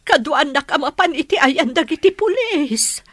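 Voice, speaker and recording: A woman's voice: high voice, sing-song, moderate pace, a bit bright, no room sound. A woman with an Ilocano accent playing a concerned village mother in a radio drama. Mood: worried.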